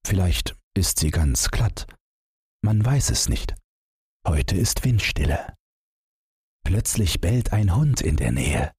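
A man's voice: low-pitched, big pitch swings, slow pace, pauses often, a little mellow, breathy edge, dry recording. A man narrating a story as a scripted audiobook, close to the microphone.

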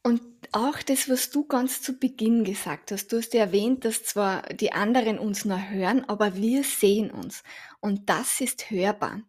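A woman's voice: medium pitch, normal speed, darkish tone, husky. Woman with an Austrian accent. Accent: Austrian German. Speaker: podcast guest. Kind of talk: casual interview chat.

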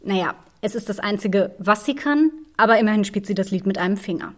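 A woman hosting a podcast, narrating fairy tales in an expressive, measured storytelling manner. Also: mid-range voice. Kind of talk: fairy-tale narration and discussion.

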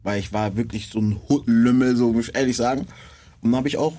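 A man's voice: medium pitch, fast talker, dark tone. A young man speaking as a rapper guest in a casual interview, laid-back and full of street slang.

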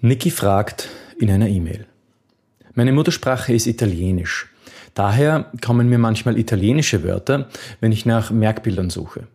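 A man's voice: fairly low pitch, animated, normal speed, darkish tone. A man coaching on memory techniques, answering a viewer's question in an earnest, encouraging way with occasional restarts.

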